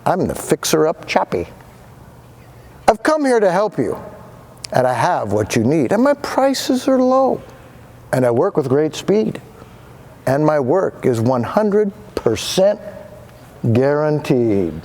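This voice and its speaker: A man's voice: sing-song, slow pace, pauses often, darkish tone, exaggerated voice. Sylvester McMonkey McBean, a man doing a slick huckster. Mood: eager, salesy. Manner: keen, salesy pitch, clear voice. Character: fast-talking male huckster, slick and eager.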